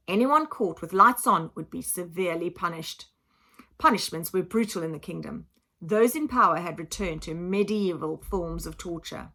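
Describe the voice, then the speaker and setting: A woman narrates a children's storybook at a medium pitch. She reads with dramatic emphasis and expressive phrasing, in the manner of a teacher reading aloud. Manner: dramatic, emphatic, acts out sound effects.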